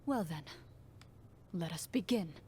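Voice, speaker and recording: A woman's voice: fairly low pitch, expressive, takes it slowly, darkish tone. Composed woman game master, game dialogue, formal and solemn storytelling, faint noise behind. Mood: solemn, measured. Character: composed woman game master guiding a tabletop role-play. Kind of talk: scripted game dialogue, narrating.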